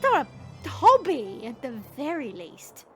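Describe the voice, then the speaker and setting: Woman playing a very high-pitched, teasing monster woman. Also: huge pitch swings, measured pace, a bit bright, faint music behind, full character voice. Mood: mocking. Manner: sarcastic, mocking, chatty. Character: smirking, teasing monster woman, short and muscular. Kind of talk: audio drama acting.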